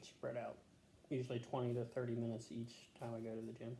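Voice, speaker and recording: A man answering health questions as a patient, casual and matter-of-fact, pausing to think in short answers. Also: mid-range voice, monotone, warm tone. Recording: echoey room with faint background noise.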